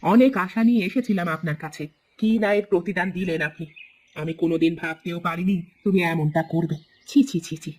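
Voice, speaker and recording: A man voicing a gentle, homely old village mother in a children's cartoon. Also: high-pitched, steady tone, a little fast. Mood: hurt.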